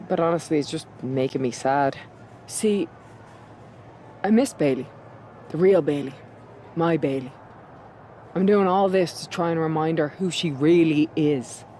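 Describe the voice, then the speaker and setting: A woman's voice: deep voice, measured pace, long pauses, warm tone, slight background noise. A woman playing a determined, sly Irish pro wrestler in a video game story scene. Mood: earnest, pleading.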